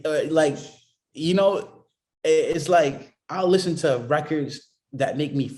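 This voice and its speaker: A man's voice: fairly high pitch, measured pace, a little mellow. Laid-back man rambling about his craft. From Boston area. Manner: laid-back, rambling, many fillers like "you know what I mean". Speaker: music producer, guest. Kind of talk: casual interview chat.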